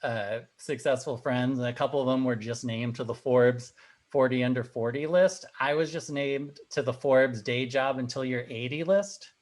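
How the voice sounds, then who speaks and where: A man's voice: medium pitch, steady tone, moderate pace. A young man doing a stand-up set over a video call, firing off punchy, self-deprecating one-liners. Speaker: comedian and host.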